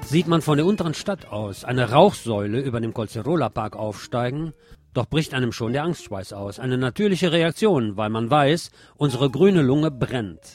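A man's voice: medium pitch, expressive, moderate pace, a little mellow. A man delivers a scripted news commentary in a mid-range voice. He speaks as a presenter, with guitar music playing faintly behind him.

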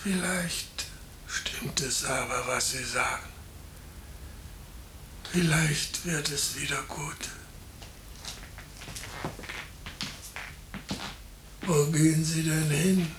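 An actor playing an anxious, resigned hospital patient in a 1950s radio drama, speaking in a hushed, halting, gravelly voice. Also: male voice, fairly high pitch, fairly even intonation. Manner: halting, brooding. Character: seriously ill male hospital patient, anxious, resigned, bitter. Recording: echoey, faintly noisy old radio recording.

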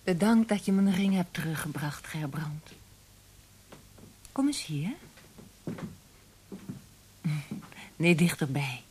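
A woman acting a seductive lover in a radio drama, coaxing in a breathy, whispery voice. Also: low voice, animated, darkish tone, slight background noise. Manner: slow, coaxing.

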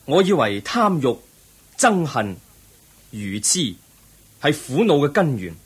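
A man acting in a Cantonese radio drama as a young prince seeking truth, earnest and measured, with a Hong Kong accent. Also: a little high, very animated, slightly rough, exaggerated voice. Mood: solemn, resolute. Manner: measured, earnest, declamatory, preaching.

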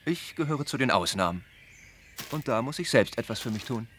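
An actor playing an earnest, courteous young gentleman bound for the church, speaking formally in a radio drama. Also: medium pitch, lively intonation, moderate pace, slight background noise, natural voice. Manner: formal, courteous period speech.

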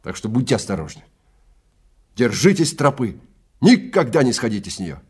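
A man's voice: mid-range voice, big pitch swings, normal speed, husky, voice pushed up. A man playing a stern, contemptuous male time-travel guide in an audio drama, lecturing and commanding with great emphasis. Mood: heated lecturing. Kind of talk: Russian audio drama acting.

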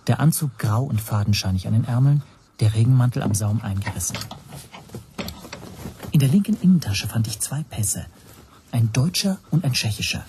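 An actor narrating a radio drama in the first person as an anxious language teacher, hushed and tense. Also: male voice, mid-range voice, animated, normal speed, long pauses, slight background noise, close to an everyday voice. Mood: wary. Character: anxious male language teacher recounting his spy troubles.

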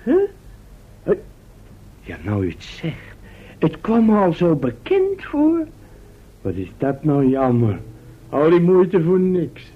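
An actor playing a cocky but dim-witted comic sidekick in a radio drama, cheeky and bumbling. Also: male voice, a little high, huge pitch swings, takes it slowly, long pauses, breathy edge, heavily acted. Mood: sheepish. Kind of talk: Dutch radio drama acting. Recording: muffled old radio recording.

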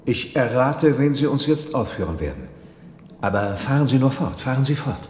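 A man's voice: mid-range voice, sing-song, moderate pace, darkish tone, lightly acted. Radio play role: man as eager noble lord, formal, questioning manner, reverberant room with slight background noise. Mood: curious, pressing. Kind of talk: radio drama dialogue. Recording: echoey hall, some background noise.

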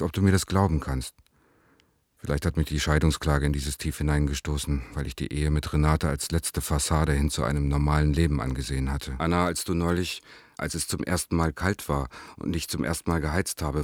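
A man's voice: very deep voice, no room sound, clean studio sound. An actor playing a despairing, self-loathing married man reading his letter to his lover in a radio play, in a sober, confessional manner.

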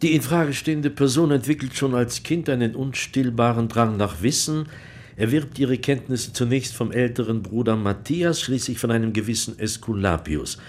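An actor playing an urbane medieval monsignor who investigates for the church in a German radio drama, dry, measured and formal. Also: male voice, close to an everyday voice. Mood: dry, factual. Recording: faint low rumbling noise.

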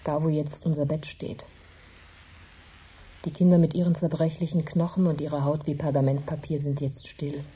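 A woman's voice: sits low, little pitch movement, moderate pace, breathy edge. An actress playing a grief-stricken, embittered poor farm widow, telling her story intensely and dramatically in a radio play. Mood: hushed, trancelike. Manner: intense, dramatic, emphatic storytelling with hushed drops. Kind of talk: German radio drama monologue.

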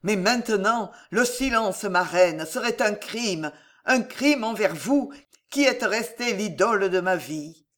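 Old court astrologer, shaken, solemn, halting; audiobook reader's own voice. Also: medium pitch, expressive, fairly bright tone. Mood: grave. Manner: hesitant, grave and solemn. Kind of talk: audiobook character reading.

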